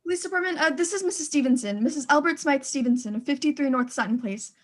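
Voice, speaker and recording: Actress playing a high-pitched, imperious, frantic wealthy wife. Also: female voice, fast talker, close to an everyday voice. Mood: flustered. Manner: indignant, demanding, stammering in agitation. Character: imperious, frantic, fretful well-to-do married woman. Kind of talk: radio thriller acting.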